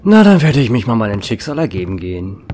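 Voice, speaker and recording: Man acting a sardonic small-town lawman, lively pitch. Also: fast, slight background noise. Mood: sardonic, resigned. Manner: dry, sarcastic. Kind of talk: audio drama acting.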